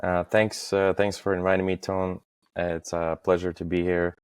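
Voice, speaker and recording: A man in his thirties from Estonia, talking as a podcast guest in a halting way, with many fillers and restarts. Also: low-pitched, level tone, clean tone, no echo, clean studio sound. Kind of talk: casual interview talk.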